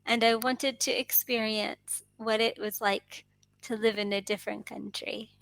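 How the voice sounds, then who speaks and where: A woman's voice: on the high side, steady tone, a little slow, gravelly, a bit bright, dry recording, poor audio. A woman with an American accent chatting casually as an English tutor, encouraging and responsive with frequent affirmations. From United States. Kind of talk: casual one-on-one conversation practice. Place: online video lesson, indoors.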